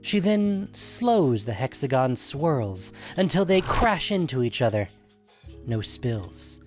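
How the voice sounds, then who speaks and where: A man's voice: mid-range voice, big pitch swings, slow, over music. A man hosting and narrating a scripted fantasy audio story. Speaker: narrator and podcast host.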